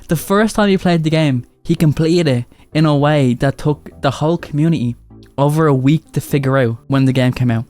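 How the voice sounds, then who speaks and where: A man's voice: medium pitch, a little mellow. Blunt, mocking man doing commentary. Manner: blunt and mocking, swears freely. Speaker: commentary YouTuber. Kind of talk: casual commentary on clips.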